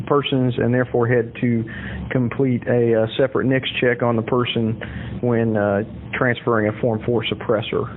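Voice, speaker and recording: Guy talking casual on the phone, kinda halting, tinny narrow sound w a bit of noise. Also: mid-range voice. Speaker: caller. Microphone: phone call recording.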